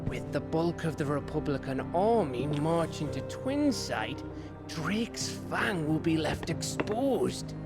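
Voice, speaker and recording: A man with a British accent playing a cheeky, rough-mouthed scout and loyal pal in a fantasy game, chummy and irreverent. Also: on the high side, lively intonation, slow, loud music behind the voice. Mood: wry briefing. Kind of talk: dramatic fantasy game acting.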